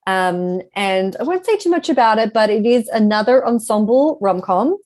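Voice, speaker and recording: A woman's voice: mid-range voice, animated, moderate pace, darkish tone. A woman speaking as a guest author in a casual interview, explaining things in a reflective way.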